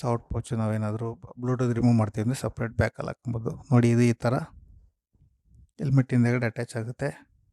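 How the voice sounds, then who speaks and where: A man's voice: mid-range voice, little pitch movement, fairly quick, dark tone, dry recording, studio quality. A man hosting a casual unboxing, explaining things step by step with English terms mixed in. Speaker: host of a gear unboxing and review video. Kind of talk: casual product walkthrough.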